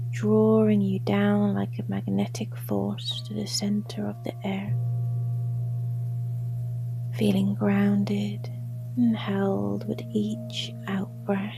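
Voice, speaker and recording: A woman guiding a sleep meditation in a soft, breathy near-whisper, gentle and soothing. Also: mid-range voice, low-quality recording. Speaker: yoga nidra guide. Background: gongs and singing bowls.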